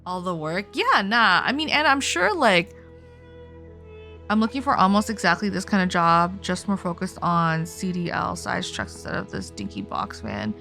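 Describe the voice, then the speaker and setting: A young woman talks to her stream while playing a game. Her voice sits a little low for a woman, and she is casual, chatty and prone to swearing and giggling. Music plays quietly behind her. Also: very animated, moderate pace, vocal fry. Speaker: game livestreamer.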